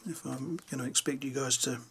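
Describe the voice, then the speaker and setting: A man's voice: medium pitch, steady tone, fairly quick, gravelly, a bit bright, a little hiss or hum. A man giving casual hands-on repair commentary, thinking aloud as he works. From New Zealand. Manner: unscripted, thinking aloud while working. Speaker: hobbyist radio repairer presenting a how-to video.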